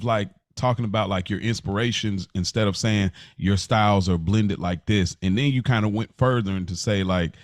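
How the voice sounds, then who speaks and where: A man's voice: fairly low pitch, no echo. Man chatting casually, close dry sound. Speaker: podcast co-host. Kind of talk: casual podcast conversation.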